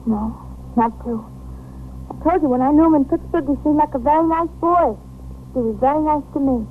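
An actress playing a nervous, evasive young American waitress under police questioning in a 1950s radio drama. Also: female voice, sits high, brisk, close to an everyday voice. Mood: confiding, hesitant. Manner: defensive, hesitant, colloquial. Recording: noisy, tinny 1950s radio recording.

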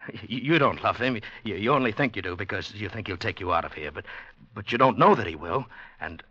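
A man's voice: on the low side, lively intonation, brisk, bright, forceful tone, dry recording. An actor playing an anxious lovelorn man in a radio drama, earnest, stammering and pleading, in 1940s radio style. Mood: pleading, distressed. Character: anxious lovelorn man trapped among sinister secret dwellers. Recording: dull vintage radio recording with music.

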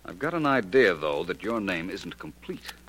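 Deep-voiced, cool radio detective. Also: male voice, sing-song, fairly bright tone. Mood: suspicious, wry. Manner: polished, measured, probing questioning. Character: cool, courteous, authoritative male private detective. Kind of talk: 1940s radio detective acting. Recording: noisy vintage 1940s radio recording.

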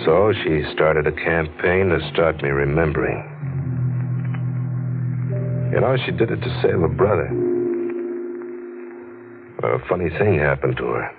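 A man's voice: rumbling low, moderate pace, gravelly, pauses often. An actor playing a weary, bitter, punch-drunk newspaperman in a hard-boiled, clipped 1940s radio drama style. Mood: weary confession. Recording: tinny old radio broadcast, music behind.

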